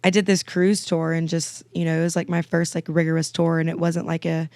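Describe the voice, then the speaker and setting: A young woman in her twenties, naturally raspy and a bit stuffed up from a cold, chatters eagerly and piles on fillers. Her voice stays smooth and clear under the rasp. The sound is dry and close. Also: low-pitched, level tone, brisk, darkish tone, no echo. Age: 20s. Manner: chatty, gushing, lots of fillers. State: a little sick, sinusy. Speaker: guest singer-songwriter. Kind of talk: casual podcast chat.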